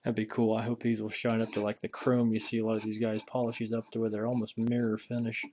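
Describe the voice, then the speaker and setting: A man's voice: lowish voice, monotone, quick pace, a little mellow, slight background noise. A man giving a casual, unscripted hobbyist walkthrough in a folksy way, musing aloud as he handles parts. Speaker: hobbyist radio restorer presenting a repair video.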